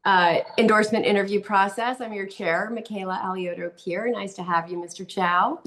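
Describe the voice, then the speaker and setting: A woman's voice: mid-range voice, fairly even intonation, brisk, fairly bright tone. A woman chairing an endorsement interview over a video call, speaking casually with many fillers. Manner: casual, filler-heavy, friendly. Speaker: committee chair moderating interviews. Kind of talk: moderating an online endorsement interview.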